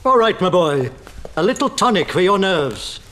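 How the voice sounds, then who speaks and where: A man's voice: sits high, sing-song, normal speed, fairly bright tone, a little hiss or hum. An older British man playing a genial, fussy wizard professor in a video game, avuncular and effusive. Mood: jovial. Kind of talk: scripted video game acting.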